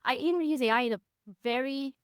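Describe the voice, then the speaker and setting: Smooth-voiced woman, non-native speaker. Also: high voice, fast talker, no echo, clean studio sound. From Belgium. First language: not English. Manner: animated, laughs easily, says "I feel like" often. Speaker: podcast guest, personal brand strategist. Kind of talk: casual interview chat.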